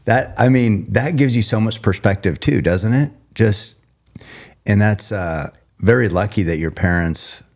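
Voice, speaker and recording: A middle-aged man with a very deep voice hosts a relaxed podcast conversation. He rambles, breaks off his sentences and starts them over, and laughs easily. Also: a little slow. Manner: rambling, self-interrupting, laughs often. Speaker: podcast host. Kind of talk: casual interview chat.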